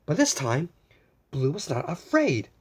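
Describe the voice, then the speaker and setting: A children's story time host narrates a picture book in a very animated, slow-paced way. Little asides and repeated phrases are addressed straight to young listeners. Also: mid-range voice, husky.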